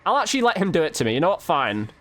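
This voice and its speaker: A man's voice: on the high side, sing-song, racing, fairly bright tone, slight background noise. A man hosting a gaming video, giving chatty, informal commentary on his play and addressing his viewers directly. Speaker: gaming video host and player. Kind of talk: casual gameplay commentary.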